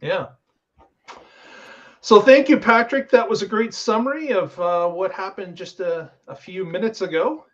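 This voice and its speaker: A man with a fairly high voice hosts a casual discussion show. He talks in an explanatory, conversational way. The sound is a little dull, like call audio. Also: unhurried. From Canada. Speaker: show host.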